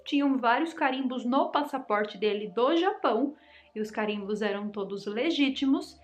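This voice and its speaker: A woman's voice: fairly high pitch, lively intonation, moderate pace. A young Brazilian woman hosting a channel, chattily and dramatically telling mystery stories straight to her viewers. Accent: Brazilian Portuguese. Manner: chatty, dramatic, addresses the audience directly. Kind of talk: casual storytelling to viewers.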